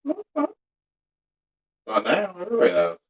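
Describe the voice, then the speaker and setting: Wry Texan man with a lively voice. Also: high-pitched, normal speed. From Texas. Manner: teasing, wry, laid-back. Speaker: vlogger. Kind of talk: casual chat.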